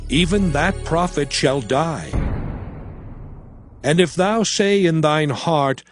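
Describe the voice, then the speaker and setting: An aged prophet and lawgiver of an audio Bible drama, delivering his words slowly and solemnly. His voice sits a touch high for a man and stays measured and exhorting throughout. Soft music plays faintly underneath. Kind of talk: dramatized scripture reading.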